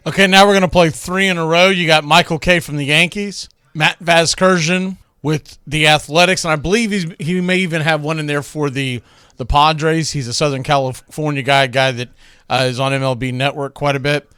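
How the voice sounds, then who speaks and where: A man's voice: fairly high pitch, fairly even intonation, normal speed, sharp tone, smooth, dry recording, clean studio sound. A man hosting a radio show, casually introducing classic sports clips.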